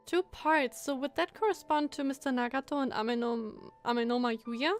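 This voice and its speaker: A woman's voice: sits high, brisk, soft background music. A woman giving casual, chatty, questioning commentary as she plays a game on stream, close to the mic. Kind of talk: casual reactive gameplay commentary.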